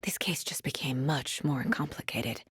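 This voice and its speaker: A woman's voice: a bass voice, normal speed, fairly bright tone, slightly rough, no echo, whispered, clean studio sound, heavily acted. An actress voicing a focused, determined female investigator in a video game, troubled but dryly wry. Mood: hushed, brooding. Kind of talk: dramatic game voice lines.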